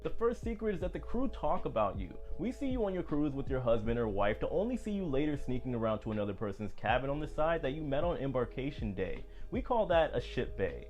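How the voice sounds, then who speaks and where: Man narrating with lively pitch. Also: fairly quick, few pauses, faint music behind. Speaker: former cruise ship entertainer. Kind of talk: scripted video narration.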